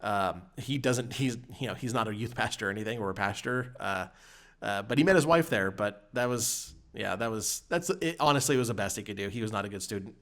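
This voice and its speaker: A man's voice: on the low side, expressive, fairly quick, fairly bright tone. A man reminiscing casually on a podcast panel in a hesitant, meandering way.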